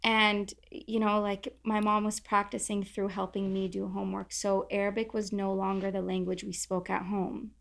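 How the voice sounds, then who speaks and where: Woman, young adult, from southern california, gravelly edge, chatty, self-deprecating. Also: mid-range voice, flat delivery, moderate pace, hardly any pauses, a bit bright. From Orange County, California. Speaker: content creator, podcast guest. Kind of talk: casual roundtable conversation.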